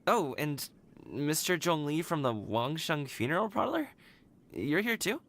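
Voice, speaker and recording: An actor playing an earnest young man eager to prove himself, speaking in a direct, lively way. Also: a little high, a little slow, creaky, slight background noise, exaggerated voice. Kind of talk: game cutscene voice acting.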